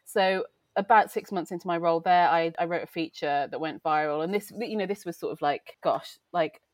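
A woman's voice: on the low side, a little fast, no echo. Chatty woman with a light Welsh accent. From Wales. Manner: chatty, reflective, frequent fillers and self-corrections. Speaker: beauty journalist, guest. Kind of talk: casual conversational interview.

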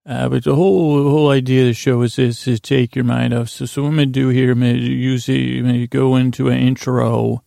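Male bedtime podcast host, very creaky and mellow, lulling, meandering and hesitant delivery. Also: medium pitch, level tone, dry recording, studio quality. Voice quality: gravelly, creaky. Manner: languid, meandering, halting, digressive. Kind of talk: rambling bedtime monologue meant to lull listeners to sleep.

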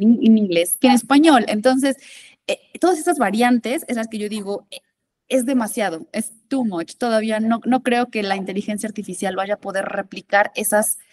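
A woman's voice: medium pitch, normal speed, a little mellow. A woman speaking as a guest expert in a conversational interview, emphatic and often repeating words as she searches for them.

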